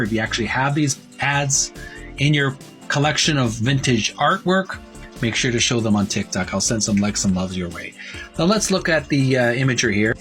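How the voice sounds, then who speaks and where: A man hosting a retro gaming video, talking casually in a halting, wordy way, rephrasing himself as he shows and reads printed material. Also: mid-range voice, fairly bright tone. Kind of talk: casual narrated show-and-tell, reading printed ad copy aloud.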